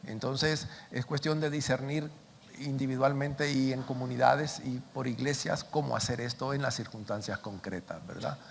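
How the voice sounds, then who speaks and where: A man's voice: medium pitch, normal speed, creaky. An older man, an invited speaker answering audience questions in an emphatic, explanatory way. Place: large conference hall. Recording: echoey hall, background noise.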